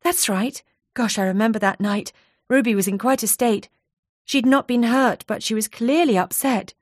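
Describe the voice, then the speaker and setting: A woman's voice: fairly high pitch, normal speed, dry recording, studio quality, voice pushed up, close to an everyday voice. A woman voicing a nervous, chatty female office worker who confides eagerly and a little breathlessly. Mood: confiding.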